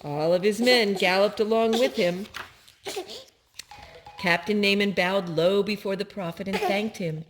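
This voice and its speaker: An older woman reading a children's story aloud as a storyteller, with simple, deliberate phrasing for young listeners. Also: mid-range voice, soft background music.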